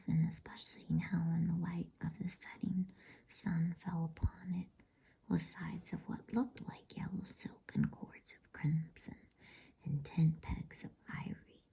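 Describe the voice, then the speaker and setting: A woman reads a storybook aloud as ASMR in a hushed, unhurried, breathy whisper meant to soothe. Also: low voice. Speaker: reader of bedtime stories.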